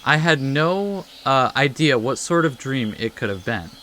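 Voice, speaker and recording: A let's play host commenting casually on a game and reading its text aloud, a little haltingly, with soft interjections. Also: medium pitch, animated, slight background noise. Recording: game music behind the voice.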